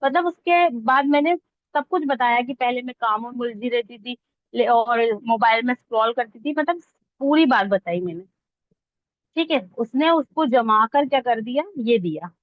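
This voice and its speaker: High-voiced woman teaching, dull lo-fi audio. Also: lively intonation, moderate pace, no echo. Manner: conversational, checks that listeners follow. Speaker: instructor teaching reel editing online. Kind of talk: informal step-by-step tutorial talk. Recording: dull lo-fi close dry audio.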